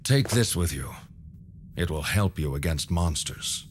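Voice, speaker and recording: An actor playing an old alchemist hermit in a video game, courteous and knowing, with measured, formal, cryptic delivery. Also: male voice, deep voice, lively intonation, slight background noise. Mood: instructive. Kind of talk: video game cutscene voice acting.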